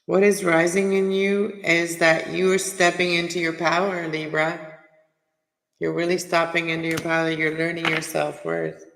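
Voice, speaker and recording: A man with a strained, raspy throat giving a chatty, reassuring casual tarot reading from home. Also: on the high side, level tone, normal speed, fairly bright tone, a little hiss or hum. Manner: chatty, reassuring, many filler phrases. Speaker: tarot reader. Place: home, cold room. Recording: echoey room with long reverb.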